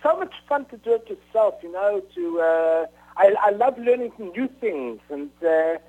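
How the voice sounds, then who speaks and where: A middle-aged man with a South African accent, speaking fairly high for a man. He answers interview questions haltingly, stopping for uhs and restarting his sentences. The sound is thin and tinny, like a phone line. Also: animated. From Rhodesia, now Zimbabwe. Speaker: author guest.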